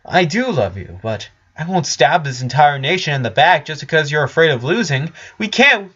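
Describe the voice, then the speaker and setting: A man's voice: a little high, big pitch swings, normal speed, a bit bright, breathy edge, muffled recording, voice pushed up, exaggerated voice. A man voicing a loyal, troubled male fantasy ranger, earnest and defiant. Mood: uneasy, protesting.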